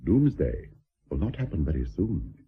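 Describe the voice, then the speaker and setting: Period radio drama: courteous but blunt nobleman, low rough voice, animated emphatic phrasing, slightly lo-fi. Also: moderate pace, heavily acted. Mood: stern, insistent. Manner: formal, emphatic, rhetorical. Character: stern, worldly, courteous British lord, a blunt advisor.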